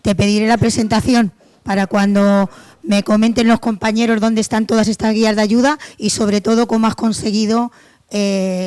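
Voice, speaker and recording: Hurried Canarian woman moderating a panel. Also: medium pitch. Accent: Canarian Spanish. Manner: hurried and informal, with restarts. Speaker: conference moderator. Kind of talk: introducing speakers. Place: conference hall.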